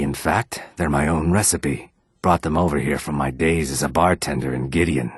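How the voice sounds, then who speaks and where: A man playing a courteous, weary and dignified reptilian merchant in a fantasy video game, speaking formally in a throaty rasp. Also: a bass voice, fairly bright tone, dry recording, studio quality, exaggerated voice. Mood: proud, explaining. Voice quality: raspy, husky, throaty. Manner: polite, formal, measured. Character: courteous male Argonian lizard-folk merchant, weary and dignified.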